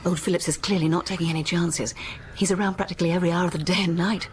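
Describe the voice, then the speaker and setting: An actress playing a worried, practical vicar's wife in a radio horror drama, expressive and often hushed. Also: female voice, lowish voice, very fast, close to an everyday voice. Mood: concerned. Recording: noisy radio drama soundtrack.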